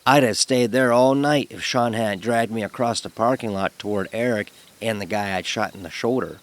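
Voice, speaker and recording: A man telling a first-person horror story in a dramatic, expressive narrating style. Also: on the low side, moderate pace, dry recording. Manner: expressive, acts out dialogue.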